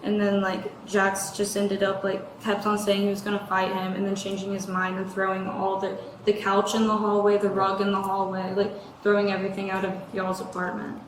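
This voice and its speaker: A woman's voice: mid-range voice, monotone, a little fast, hardly any pauses. A young American woman giving a casual spoken account as a student reporting to police. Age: young adult. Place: indoor room, speaking to officers. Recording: roomy, distant, noisy bodycam audio.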